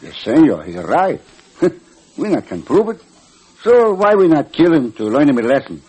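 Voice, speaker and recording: A man's voice: on the high side, big pitch swings, normal speed, darkish tone, husky, rough recording. A man playing a comic, grumbling Mexican cowboy sidekick in an old-time radio western, in broken English with a thick Mexican accent. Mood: gleefully menacing. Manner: broken English, clowning, playful.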